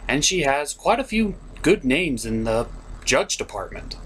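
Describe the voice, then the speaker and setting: A man's voice: a little high, very animated, unhurried, a bit bright, slight background noise. A man hosting a comedic cartoon review, partly scripted and partly ad-libbed, with a sarcastic, theatrical delivery. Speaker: host of a cartoon review show.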